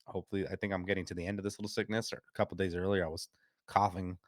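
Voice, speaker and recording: A man hosting a casual gaming podcast, stuffed up from a cold, laid-back and chatty and quick to laugh. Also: deep voice, little pitch movement, no echo, studio quality. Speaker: podcast host. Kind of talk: casual chat.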